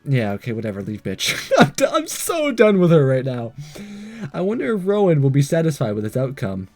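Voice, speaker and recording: A man's voice: medium pitch, wildly swooping pitch, fairly quick, darkish tone, soft background music. A man doing a let's play, reading a visual novel aloud with casual, sarcastic asides and the odd stumble over words. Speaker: let's player.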